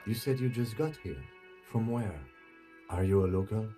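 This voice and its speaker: Actor as a low, mellow, composed police lieutenant. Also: male voice, faint music behind, lightly acted. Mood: questioning, polite. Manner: measured, polite, formal, matter-of-fact. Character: calm, professional male police lieutenant, restrained and businesslike. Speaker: video game character. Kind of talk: video game voice acting.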